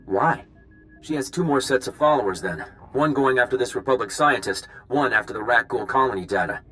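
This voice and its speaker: A bright, keen-edged male agent, played by an actor in a sci-fi game. He is mid-pitched, practical and frank, speaking like a dutiful subordinate in the field. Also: fairly quick, natural voice, low-quality recording. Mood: businesslike, focused. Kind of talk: video game voice acting.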